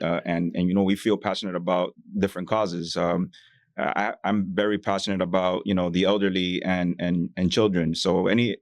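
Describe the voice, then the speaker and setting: A man from Miami talks warmly about his community work in a podcast interview. His voice sits a bit low and he strings sentences together with easy fillers. The recording is dry with no room sound. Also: dry recording. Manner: conversational, many fillers like "you know". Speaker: bank employee.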